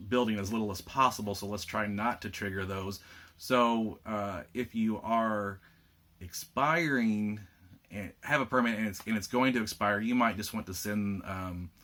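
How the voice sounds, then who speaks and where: A man with a slightly rough voice, giving a casual video update to lodge officers, plainspoken with frequent fillers. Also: fairly low pitch, fairly bright tone. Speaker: state lodge administrator giving members an update.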